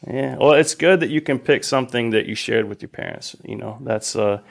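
A man chatting as a podcast guest in a relaxed, casual way with frequent fillers. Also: mid-range voice, brisk, creaky. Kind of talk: casual interview chat.

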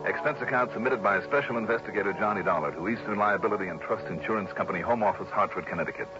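An actor playing a genial, wisecracking insurance investigator in a 1960s radio drama, with wry, casual banter and crisp narration. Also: male voice, lowish voice, racing, over loud music, natural voice. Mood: businesslike. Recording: dull early-1960s radio recording.

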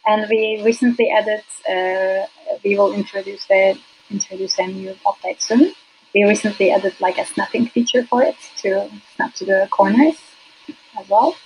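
A woman whose first language is Turkish, a UX designer as a podcast guest, talking hesitantly with many fillers and soft laughs. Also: medium pitch, moderate pace, slight background noise, low-quality recording. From Turkey. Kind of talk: casual interview.

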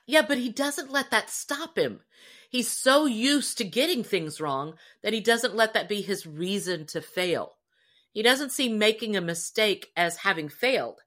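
A woman's voice: mid-range voice, expressive, a little slow, fairly bright tone. A woman co-hosting a podcast, chatting casually and expressively about a story in an earnest way. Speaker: podcast co-host.

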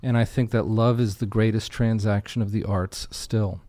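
A man's voice: on the low side, steady tone, normal speed, dark tone. A man talking conversationally as an interview guest, in an earnest, reflective manner. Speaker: guest singer-songwriter and radio show founder.

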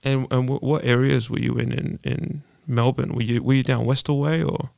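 Gravelly, flat-voiced podcast host man. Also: mid-range voice, no echo, studio quality. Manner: easygoing, halting questions. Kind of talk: podcast interview.

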